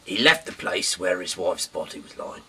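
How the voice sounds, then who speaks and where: Halting man, very deep bright-edged voice. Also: expressive, slightly rough. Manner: halting, rambling, stumbles over words and trails off. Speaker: host reading aloud. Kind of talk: informal Bible reading with rambling asides.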